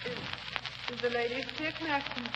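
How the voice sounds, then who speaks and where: An actress playing a frail, devoted wife in a wheelchair who speaks hesitantly, in 1930s radio drama style. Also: female voice, fairly high pitch, a little slow, lightly acted. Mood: fragile. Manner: hesitant, faltering. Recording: muffled old radio broadcast, reverberant, hiss.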